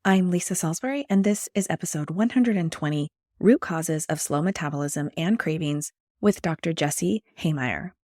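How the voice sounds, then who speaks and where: Croaky-voiced woman podcast host. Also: low-pitched, normal speed, hardly any pauses, a little mellow, no echo, studio quality. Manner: chatty, enthusiastic, with many fillers. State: slightly croaky from a chest cold. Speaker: podcast host, health and weight loss coach. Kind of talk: podcast interview.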